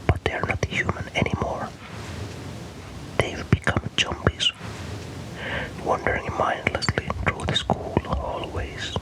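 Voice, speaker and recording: Male ASMR storyteller, fully whispered delivery, soft and breathy, calm deliberate narration. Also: rough recording. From Finland.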